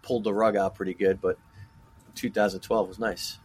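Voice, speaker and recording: A man co-hosting a podcast, rambling and thinking out loud in casual chat. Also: lowish voice, flat delivery, normal speed, slightly rough. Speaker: podcast co-host.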